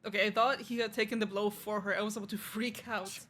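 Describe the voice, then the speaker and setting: A woman's voice: medium pitch, steady tone, quick pace, fairly bright tone. A young woman reacting live to a game as a streamer, casual, slangy and blunt, often in muttered asides. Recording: faint game music behind.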